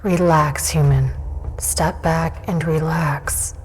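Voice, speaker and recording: A woman playing a stern, guarded warrior of an ancient dragon-blooded race in a fantasy audio drama, speaking in a measured, commanding way. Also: low-pitched, steady tone, slight background noise. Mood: commanding, calm.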